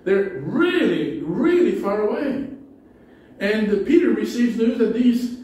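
A man's voice: high-pitched, big pitch swings, slow, a little mellow. A middle-aged man with a Spanish accent, preaching a conversational sermon from a pulpit, often restarting his sentences. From Spanish-speaking country. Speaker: pastor. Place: small church hall with low ceiling. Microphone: pulpit mic, far camera. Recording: echoey hall from a distance.

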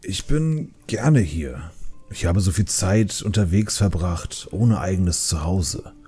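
A man playing a calm, patient older panda brewmaster uncle in a dramatised fantasy audiobook, speaking gently. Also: low voice, slow pace, husky, faint music behind, full character voice. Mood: calm, content.

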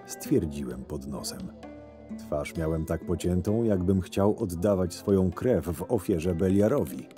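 A man acts as a scheming, cynical henchman telling his story in an audio drama. His voice is deep and mellow, his delivery measured and sardonic. Music plays softly underneath. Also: expressive. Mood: dry, brooding. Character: cynical, scheming male camp henchman recounting his days. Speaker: narrator and lead actor of an audio drama. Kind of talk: first-person scripted narration. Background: background music.

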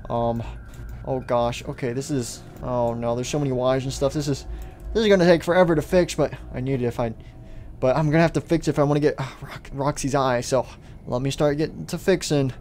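A man play-acting an excitable, resourceful little boy who mutters hesitantly and bursts into exclamations, in an improvised story. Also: medium pitch, brisk, a little hiss or hum, natural voice. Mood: muttering, busy.

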